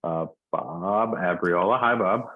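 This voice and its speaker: Deep-voiced man chairing a meeting. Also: takes it slowly, no room sound. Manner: relaxed, informal, uses many fillers. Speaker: commission chair. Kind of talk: meeting roll call and introductions. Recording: dry tinny phone-like line.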